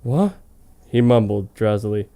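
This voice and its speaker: A man's voice: fairly low pitch, expressive, unhurried, warm tone. A man reading fan fiction on a comedy show in a hammy, mock-dramatic way, with casual, amused asides. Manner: hammy, amused, breaking off to comment.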